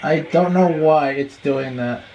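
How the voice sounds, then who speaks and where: A man's voice: a little high, unhurried, lo-fi sound, a crowd in the background. A man chatting casually as a radio hobbyist about his equipment, recorded at home. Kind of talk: casual chat about weather radio receivers.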